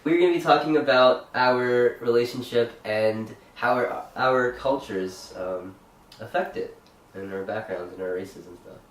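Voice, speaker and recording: A man's voice: mid-range voice, unhurried, distant mic, a little hiss or hum. Young Canadian man, vlog co-host, loose rambling delivery; echoey room, mic set back. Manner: chatty, rambling with fillers and restarts. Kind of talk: casual chat.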